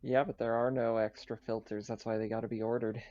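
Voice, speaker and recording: A man's voice: mid-range voice, flat delivery, fairly quick, darkish tone, dry recording, muffled recording. A man casually narrating a tabletop roleplaying game as game master.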